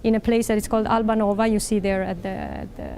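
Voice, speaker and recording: A woman's voice: medium pitch, huge pitch swings, quick pace, gravelly, mellow, slight background noise. A middle-aged woman from Italy, a professor speaking on a panel in a large hall, hesitant with many fillers and restarts. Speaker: professor and dean. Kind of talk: answering questions on a panel. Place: large library hall indoors.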